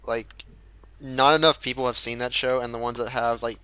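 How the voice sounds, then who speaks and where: A young man chatting casually on a podcast, halting and repeating words, with lots of "like". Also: medium pitch, fairly even intonation, crowd noise, poor audio. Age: young adult. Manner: hesitant, repeats words, says "like" often. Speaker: podcast co-host. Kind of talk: casual group chat.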